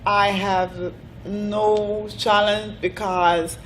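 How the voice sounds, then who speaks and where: A woman from Brazil with a Brazilian Portuguese accent, a guest business owner who speaks hesitantly and softly. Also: medium pitch, little pitch movement, drawn-out words, a little hiss or hum, rough recording. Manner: hesitant, soft-spoken, laughs shyly.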